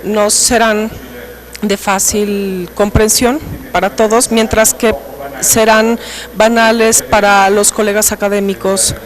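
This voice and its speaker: An interpreter rendering an academic lecture in measured, short phrases. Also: mid-range voice, flat delivery, rough recording.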